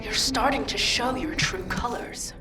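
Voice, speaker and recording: An actress playing a haughty, cold faction envoy in a video game, speaking in an imperious, condescending, formal way. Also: female voice, fairly high pitch, a little slow, over music, natural voice. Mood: cold disdain. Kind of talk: scripted game dialogue. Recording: faint game ambience behind voice.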